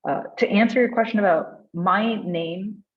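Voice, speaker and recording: A woman from India with an Indian accent, speaking conversationally and earnestly as she answers audience questions, with expressive, laughter-prone delivery. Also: mid-range voice, normal speed. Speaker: guest speaker at a design meetup. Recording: dull, lo-fi online call audio.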